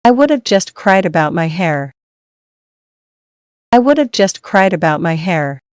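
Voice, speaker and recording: A woman reads short English dialogue lines for learners, each one twice, slow and distinct. Her voice sits low and sounds smooth and resonant. The recording is close and dry. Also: sing-song, a little mellow, no room sound. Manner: slow, clear, each line read twice. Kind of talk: scripted dialogue reading for learners.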